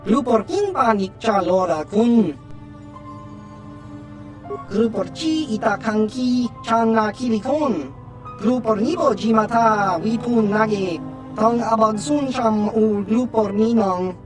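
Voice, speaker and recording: A man's voice: high voice, slow pace, over music. A man voicing an agitated alien creature in a video game, babbling in a made-up tongue. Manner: repeats own name, babbling third-person speech. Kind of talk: video game character dialogue.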